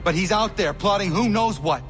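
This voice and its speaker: An actor playing an earnest, blunt and stubborn young warrior in training in a video game cutscene. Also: male voice, high voice, moderate pace, fairly bright tone, faint music behind. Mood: insistent. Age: young adult.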